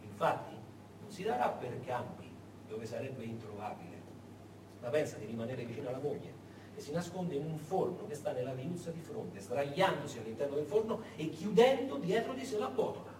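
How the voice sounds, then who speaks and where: Animated male stage storyteller, echoey and distant. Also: on the high side. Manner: emphatic, suspenseful, dramatic pauses. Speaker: stage actor narrator. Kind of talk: dramatic theatrical storytelling. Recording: echoey hall, distant mic, clear background noise.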